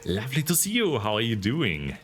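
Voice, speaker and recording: A man from Austria with a deep baritone voice and an Austrian accent, chatting casually and giggling as he hosts a game livestream. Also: a little fast, breathy edge. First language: German. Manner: giggly, expressive. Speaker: streamer hosting a game stream. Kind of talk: casual livestream chat while gaming.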